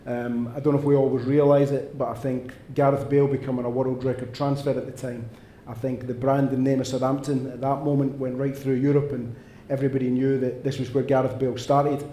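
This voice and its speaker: A man's voice: medium pitch, flat delivery, a little fast, hardly any pauses, dark tone, roomy sound, slight background noise. A man with a Scottish accent, answering fans' questions on a panel in an earnest, emphatic, long-winded way. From Scotland. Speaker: football director on a panel. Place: indoor event room.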